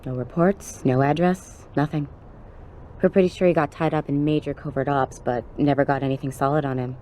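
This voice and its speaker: Game cutscene policewoman, played by an actress: deep female voice, streetwise and blunt, faint noise behind. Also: steady tone, a little fast, mellow, natural voice. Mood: blunt, conspiratorial. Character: jaded, foul-mouthed undercover policewoman, old colleague. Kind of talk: video game cutscene acting.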